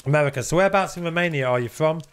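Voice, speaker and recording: A man talking to viewers on a live game stream in a relaxed, rambling way. He reads the chat aloud, drops the odd swear word and keeps up a loose running commentary. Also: a little high, racing, clear, resonant tone.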